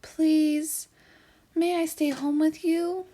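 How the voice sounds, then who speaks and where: A woman's voice: high-pitched, little pitch movement, takes it slowly, mellow, voice pushed up. A woman voicing a young raccoon boy in a storybook, anxious, pleading and affectionate like a small child. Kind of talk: storybook character voice.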